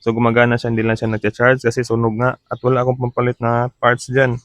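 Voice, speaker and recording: A man's voice: medium pitch, monotone, quick pace, no room sound. A man giving a casual repair demonstration, explaining step by step and mixing in English terms. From Philippines. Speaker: repair technician demonstrating his work. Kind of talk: casual repair demo vlog.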